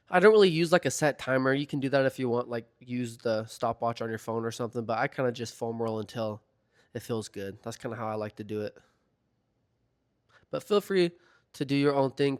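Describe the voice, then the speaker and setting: A young man talking through a foam roller routine in a relaxed, chatty voiceover, his voice a little hoarse as he recovers from being sick. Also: mid-range voice. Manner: relaxed, chatty, filler-heavy. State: slightly hoarse from recovering from illness. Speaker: fitness vlogger. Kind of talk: casual instructional voiceover. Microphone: voiceover mic.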